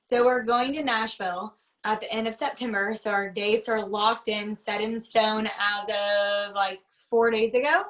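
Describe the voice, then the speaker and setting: A young woman, a band member, chatting casually in an interview, bubbly and quick to laugh and agree. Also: medium pitch, steady tone, fairly bright tone, recorded from a distance, rough recording.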